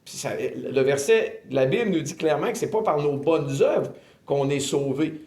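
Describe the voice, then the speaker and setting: A man in his fifties with a Québécois accent, earnestly telling his faith testimony in an interview, often pausing with filler sounds. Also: on the high side, a little mellow. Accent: Québécois French. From Quebec, Canada. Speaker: ministry director giving testimony. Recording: roomy echo.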